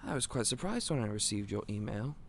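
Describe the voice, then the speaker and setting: An actor playing a gentle, clumsy candy shop owner who stammers and speaks in a polite, old-fashioned way. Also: male voice, fairly low pitch, very animated, fairly quick, slight background noise. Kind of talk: audio roleplay acting.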